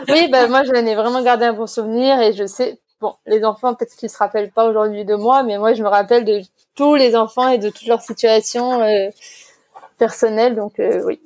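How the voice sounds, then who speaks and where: A woman's voice: a little high, fairly even intonation, brisk, darkish tone. A woman in her twenties answering as a guest in a casual interview, chatty and explanatory, given to easy laughter. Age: 20s.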